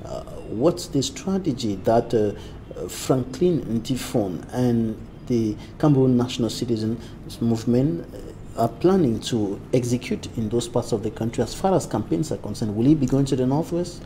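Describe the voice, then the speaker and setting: A man's voice: medium pitch, animated, normal speed, mellow, a little hiss or hum. A male news anchor interviewing a guest, asking long, carefully framed questions.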